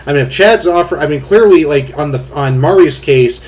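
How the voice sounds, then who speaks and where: Rambling, blunt man with a booming voice. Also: a little fast, darkish tone. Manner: rambling, filler-heavy, blunt and crude. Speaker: host. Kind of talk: casual commentary chat.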